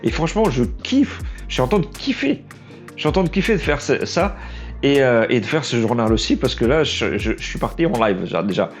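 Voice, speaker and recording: A young man with a Portuguese accent talks loosely to camera in French, tripping over words and circling back as he goes. His pitch sits in an ordinary middle range, his voice sounds a bit worn, and faint music plays under him. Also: big pitch swings. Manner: halting, stumbles and restarts often, self-deprecating. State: losing his voice. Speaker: aspiring trailer editor, vlog host. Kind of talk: unscripted casual vlog monologue.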